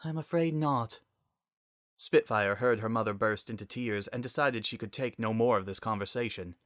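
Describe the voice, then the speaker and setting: A man's voice: on the low side, fairly even intonation, fairly quick, a bit bright, no echo, studio quality. A man reading a story aloud as narrator in a dramatic style.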